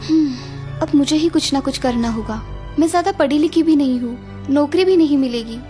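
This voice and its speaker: A woman acts a young cartoon wife with a high, sweet voice. She is gentle, dutiful and determined, speaking earnestly and dramatically. Music runs underneath. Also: normal speed, close to an everyday voice. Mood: dutiful, resolved. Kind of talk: Hindi moral-story cartoon dubbing.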